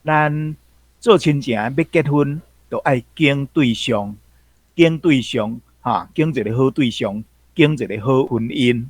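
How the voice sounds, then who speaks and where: A man's voice: medium pitch, unhurried, darkish tone, dry recording. A man with a Taiwanese accent explaining folk sayings, emphatic and fond of repeating key phrases. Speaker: language commentator.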